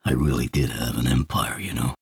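A man's voice: rumbling low, brisk, creaky, husky, no room sound, studio quality, voice pushed down, exaggerated voice. Man doing a growling, bitter old tycoon. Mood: grumbling. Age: older. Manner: growling, grumbling. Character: old deposed male tycoon, bitter and grumbling.

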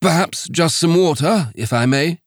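A man's voice: on the high side, normal speed, dry recording, studio quality, voice pushed up, lightly acted. A man voicing a frantic, desperate male missionary preacher who pleads breathlessly. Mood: pleading.

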